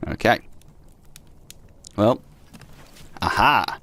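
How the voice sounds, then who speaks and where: A man's voice: on the low side, lively intonation, very slow, a bit bright, a little hiss or hum. A young man casually commenting on a horror game as he plays, reading in-game notes aloud, sarcastic and swearing at the game. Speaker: let's play host. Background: faint game music.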